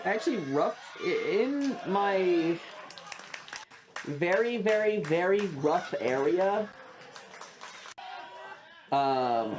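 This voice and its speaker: A man's voice: mid-range voice, background noise. A man chatting casually on a livestream, rambling and swearing with enthusiasm as he reacts and gives his takes.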